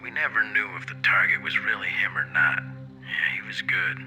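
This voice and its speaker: Veteran soldier, low but really sharp tense voice, grim game cutscene storytelling. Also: male voice, normal speed, faint music behind, poor audio. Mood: grim, rueful. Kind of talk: dramatic game cutscene acting.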